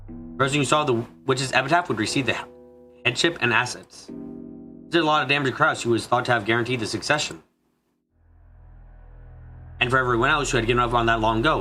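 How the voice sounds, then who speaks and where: A man reads story narration from a visual novel at a brisk pace. His voice is bright and forceful but a little congested from a cold, with mushy edges to the diction. Soft music plays faintly behind him. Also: medium pitch. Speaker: let's play streamer reading a visual novel. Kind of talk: dramatic narration of a story game.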